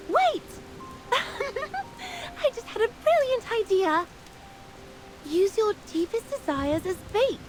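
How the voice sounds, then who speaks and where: A woman's voice: extremely high pitch, a little hiss or hum, rough recording. A woman voicing a playful, mischievous fairy in a video game, with a theatrical, teasing delivery. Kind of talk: scripted game voice acting.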